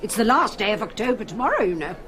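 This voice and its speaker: A woman's voice: mid-range voice, very animated, quick pace, fairly bright tone. An actress playing a chatty, gushing society lady in a radio drama.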